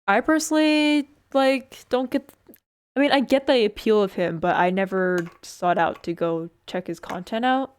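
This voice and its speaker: A woman's voice: on the high side, moderate pace, clear, resonant tone. A woman streamer chatting casually while building a model kit, rambling with lots of fillers and little laughs. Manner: rambling, filler-heavy, giggly, self-deprecating.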